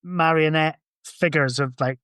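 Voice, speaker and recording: A man's voice: fairly high pitch, steady tone, measured pace, a bit bright, smooth, no echo, clean studio sound. A man chatting casually as a podcast guest, informal and a bit sweary. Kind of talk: casual chat.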